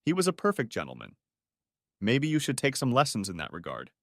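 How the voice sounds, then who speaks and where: A man's voice: medium pitch, wildly swooping pitch, fairly quick, a bit bright, no room sound, clean studio sound, exaggerated voice. A man voicing a dismissive wife who scolds her husband. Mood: scornful. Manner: impatient, dismissive. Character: sharp scornful wife, adult woman.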